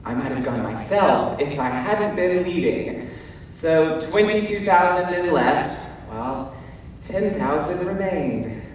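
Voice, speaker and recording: Man acting high-voiced Gideon in an echoing hall. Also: lively intonation. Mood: daunted. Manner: theatrical, emphatic, with deliberate pauses and hesitant stammers. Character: Gideon, fearful, self-doubting biblical warrior telling his story. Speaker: stage actor. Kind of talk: dramatic stage monologue. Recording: distant mic in echoey hall, faint noise.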